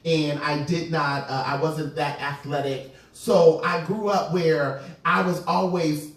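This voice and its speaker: A man in his late thirties with a noticeably high voice talks earnestly about his own life in a group chat. He sounds set back from the mic, with a very echoey room around him. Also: fairly even intonation, slow pace, far from the mic. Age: late 30s. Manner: earnest, personal storytelling. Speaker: panelist. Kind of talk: casual group discussion.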